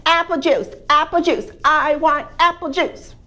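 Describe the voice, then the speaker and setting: A woman storyteller voicing a demanding baby boy who whines insistently in a high voice. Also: steady tone, unhurried, sharp tone. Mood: whiny. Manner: high, whiny, insistent chant.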